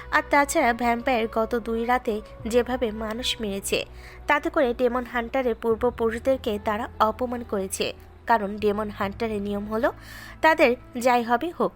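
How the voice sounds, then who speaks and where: A woman narrating a Bengali audio drama in a steady, scripted storytelling manner. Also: fairly high pitch. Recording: faint background music.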